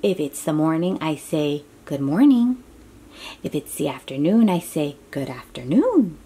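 A woman reads a children's book aloud with lively swings in pitch and an unhurried pace. Her voice is soft-edged and mellow, and she speaks encouragingly, as if chatting directly with a small child. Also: slightly rough. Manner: encouraging, exclaiming, asks the listener questions. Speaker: children's picture book reader. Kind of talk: read-aloud storytime for young children, with chatty asides.

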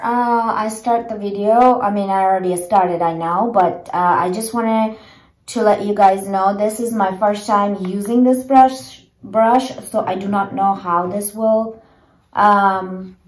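A woman in her thirties chatting casually through a home hair tutorial, with many fillers and a gentle, way of talking, filmed on a propped phone in a small bedroom. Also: medium pitch, takes it slowly. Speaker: beauty vlogger. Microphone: phone camera propped low. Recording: echoey small room.

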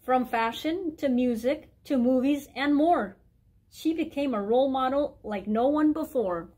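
A middle-aged woman reading a children's picture book aloud in a clear, deliberate storytime way in a library room. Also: high-pitched, darkish tone. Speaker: guest reader, mayor. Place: indoor library room with bookshelves.

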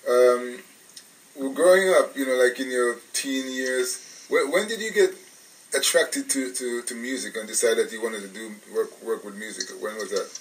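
A man's voice: mid-range voice, normal speed, recorded from a distance, low-quality recording. A man from England, hosting a radio interview in a rambling, casual way with many restarts. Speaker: radio show host.

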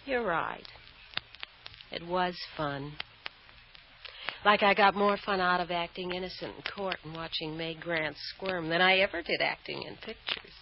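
A woman's voice: on the low side, a little slow, highs cut off. Volatile bad girl, noisy old radio. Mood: bitter relish. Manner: emotional, swinging from nervy pleading to bitter relish. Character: guilty, hard-drinking bad girl, volatile and bitter. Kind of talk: old-time radio drama acting.

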